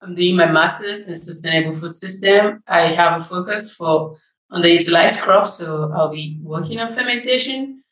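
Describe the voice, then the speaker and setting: A woman's voice: on the low side, normal speed, a bit bright, echoey room, low-quality recording. A young woman from Nigeria, a graduate student, introducing herself briefly.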